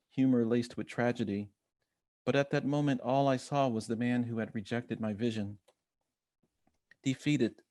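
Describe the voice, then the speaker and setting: Man, American accent, mid-pitched, measured essay reading, quoted dialogue, dry recording. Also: warm tone, dry recording. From Chicago, United States. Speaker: guest essayist reading own work. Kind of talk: reading personal essay aloud.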